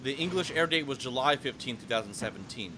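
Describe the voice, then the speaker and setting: A man hosting a casual podcast chat, animated and swearing casually as he talks. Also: mid-range voice, quick pace, background noise. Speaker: podcast host.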